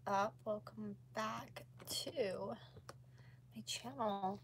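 A woman in her twenties with a slightly hoarse voice, chatting casually as she livestreams crafts from home. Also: mid-range voice, drawn-out words. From North America. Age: 20s. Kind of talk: casual chat while painting. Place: small room at home, indoors. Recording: clearly noisy home livestream recording.

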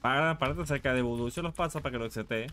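A man's voice: medium pitch, quick pace, pushed and bright. A man chatting casually and teasing his friends in slang while gaming. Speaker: online gamer.

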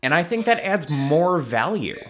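A young man in his twenties giving earnest, deliberate conversational advice as a podcast co-host on a studio microphone. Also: fairly high pitch, big pitch swings. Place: small indoor podcast studio. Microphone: studio mic on boom arm.

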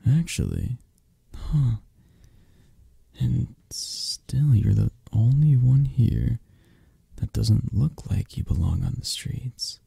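Gravelly, slow-talking mafia boss acted by a man. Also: mid-range voice, sing-song, dark tone, no room sound, clean studio sound, exaggerated voice. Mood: cool, appraising. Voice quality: husky, gravelly, at times breathy. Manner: slow, murmuring, teasing, with drawn-out pauses. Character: possessive, flirtatious, intimidating male mafia boss. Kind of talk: ASMR roleplay acting.

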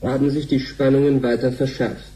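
A sober news announcer played by a man reads in a formal, measured way at a medium pitch. The tone is very muffled and dark, with faint noise, as a bulletin inside a sci-fi radio play. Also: flat delivery, lightly acted. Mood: sober, official. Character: sober male news reader of the future. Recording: very dull sound, faint noise.

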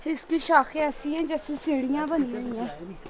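A woman's voice: high-pitched, animated, normal speed, background noise. A young woman, chatting upbeat to a handheld camera while hiking outdoors on a forest trail, sometimes out of breath. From Pakistan. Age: young adult. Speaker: travel vlogger. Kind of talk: casual travel vlog narration to camera. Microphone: handheld camera held close.

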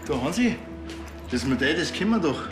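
Curt Bavarian cop, dry, impatient, slightly high voice, music behind, TV comedy. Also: male voice, very animated, a little fast. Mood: brisk. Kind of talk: TV crime comedy acting. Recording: roomy sound with music.

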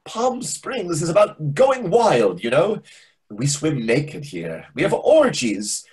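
A man playing a husky, pompous waiter. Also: on the high side, huge pitch swings, slow pace, a little mellow, heavily acted. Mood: condescending. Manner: theatrical, condescending, lecturing. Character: pompous, needling, over-familiar Greek restaurant waiter. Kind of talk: readers theatre comedy acting. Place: indoors on a video call. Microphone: video call microphone.

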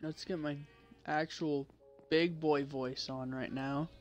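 A man with a fairly high-pitched voice giving casual commentary while playing a video game, muttering to himself and second-guessing as he goes. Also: steady tone, slow, lo-fi sound. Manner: mutters to himself, self-correcting and unsure. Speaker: gameplay video creator.

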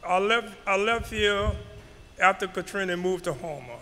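A man in his nineties with a high, bright voice answers questions haltingly, often repeating his first words. He speaks into a handheld mic in a community hall, and his voice rings out with a long echo. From New Orleans, Louisiana. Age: 90s. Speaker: panel guest, Mardi Gras Indian elder.

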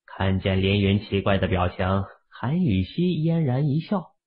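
A man's voice: fairly low pitch, big pitch swings, a little slow, slightly rough, soft background music. A man narrating fiction from a script as an audiobook storyteller. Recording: phone-like, band-limited audio.

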